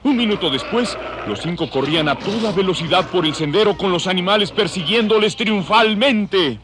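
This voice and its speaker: A man's voice: high voice, animated, normal speed. A man narrating a dramatized novel in a scripted storytelling style. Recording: farm animal noise behind.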